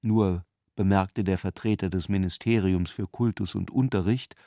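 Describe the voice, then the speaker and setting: A man's voice: fairly low pitch, darkish tone, no room sound, studio quality. A man reading literary narration as the narrator of a radio play.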